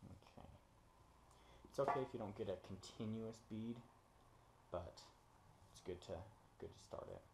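A young man casually explaining an oil pan install in his home garage, relaxed and full of ums while he works. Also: fairly low pitch, moderate pace, vocal fry, pauses often, noisy background. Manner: relaxed, many ums and uhs while working. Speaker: DIY mechanic host. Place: home garage, concrete floor. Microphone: camera on the floor nearby, hands busy.

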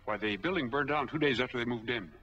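An American actor playing a cornered, earnest middle-aged drifter in a 1940s film noir, reasoning and pleading. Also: male voice, medium pitch, fast talker, lightly acted. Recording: dull 1940s film soundtrack.

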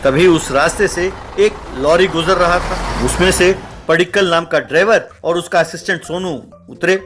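A man narrating a scripted children's animated story. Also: high voice, normal speed. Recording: music under the voice.

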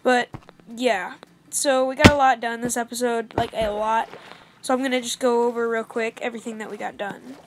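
Chatty young female gamer, high voice. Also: steady tone, moderate pace, noisy background. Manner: chatty, upbeat, meandering recap. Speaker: gaming channel host. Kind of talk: casual gameplay commentary.

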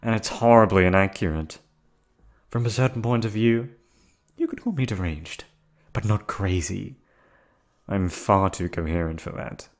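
A man voicing a calm, unhinged yandere receptionist who has kidnapped the listener, in an ASMR audio drama. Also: low-pitched, moderate pace, pauses often. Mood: menacing. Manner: soft, coolly reasoning, menacing. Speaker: voice actor.

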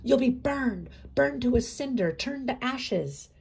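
A woman voicing a furious, bitter male prisoner who snarls and shouts. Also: high-pitched, lively intonation, a little slow, creaky, husky, pitched above the performer's own voice, heavily acted.

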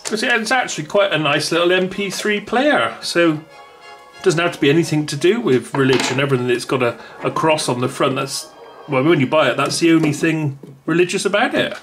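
A man's voice: on the high side, a little fast, over music. Chatty man reviewing a gadget. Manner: rambling, chatty, many restarts. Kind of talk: casual unboxing talk.

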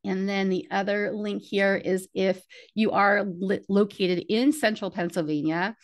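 A middle-aged woman talking casually through a screen-share of her website, with many ums and uhs and a lively, rising pitch when excited. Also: measured pace. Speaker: community strategist walking through her website.

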